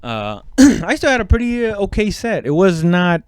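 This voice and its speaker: Male comic podcaster. Smooth, clean voice, dry close sound, informal halting solo ramble with loose swearing. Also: high-pitched, big pitch swings, darkish tone, dry recording. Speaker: stand-up comedian hosting own podcast. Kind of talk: casual rambling solo chat.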